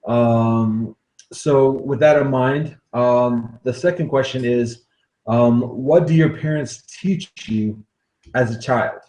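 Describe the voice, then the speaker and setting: A man's voice: medium pitch, a little mellow. Roomy-sounding man hosting a podcast. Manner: thoughtful, halting, many fillers and restarts. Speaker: podcast host. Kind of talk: conversational podcast interview. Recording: roomy echo.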